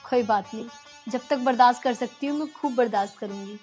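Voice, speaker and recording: A woman playing a patient young daughter-in-law in a family drama skit, pleading and soft-spoken. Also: sits high, moderate pace, faint music behind. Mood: gentle.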